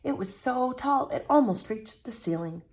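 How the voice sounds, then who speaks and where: A woman reading a children's picture book aloud as a storyteller, expressive and teacherly. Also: a little high, normal speed.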